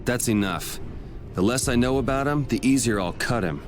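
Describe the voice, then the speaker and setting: A man's voice: medium pitch, normal speed, close to an everyday voice. An actor playing a blunt, cool young swordsman in a game, over background music.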